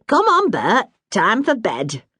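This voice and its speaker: A woman voicing a middle-aged mother, bossy but warm-hearted, in a brisk, scolding dialect. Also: a little high, huge pitch swings, slightly rough, no room sound, clean studio sound, pitched above the performer's own voice, heavily acted. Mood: musing.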